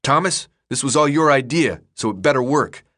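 Confident young tough for an audiobook, male reader; raised voice, lively swings, dry and brash. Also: medium pitch, normal speed, pitched above the performer's own voice, natural voice. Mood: brash, threatening. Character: cocky, dry-humored teenage boy, tough and confident. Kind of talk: audiobook character dialogue.

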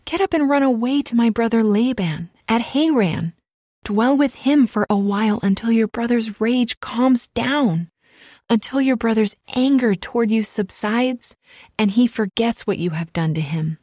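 A woman's voice: on the high side, measured pace, mellow, no room sound, clean studio sound. A woman voicing an anxious mother of two sons in a dramatized scripture reading, speaking urgently and insistently.

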